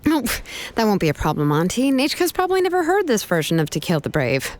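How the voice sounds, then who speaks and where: A woman's voice: medium pitch, huge pitch swings, quick pace, a little hiss or hum. A woman playing a cool, shrewd and determined young woman in a video game, probing and firm in manner. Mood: casual, probing.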